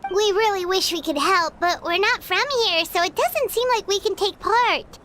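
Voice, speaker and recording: A woman's voice: very high-pitched, sharp tone, slight background noise. A woman voicing a small, chatty and blunt female fairy companion in a game. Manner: chirpy, nosy, quick to comment. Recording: game audio under music.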